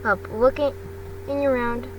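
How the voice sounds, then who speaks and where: A woman narrating a story in an amateur audiobook style, with a halting delivery full of stumbles and self-corrections. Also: on the high side, fairly even intonation, distant mic, background noise. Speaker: fan fiction reader.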